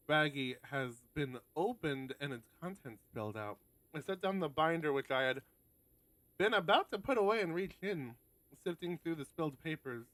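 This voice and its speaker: A man's voice: medium pitch, no room sound, poor audio. A man streaming a visual novel, reading the narration aloud with casual asides and the odd stumble and correction. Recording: prominent guitar music behind voice. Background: loud plucked guitar game music.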